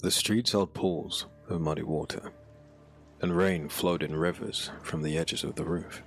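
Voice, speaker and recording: Low voiced guy narrating dark fantasy, solemn and dramatic, some music under it. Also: steady tone, unhurried, slightly rough. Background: background music.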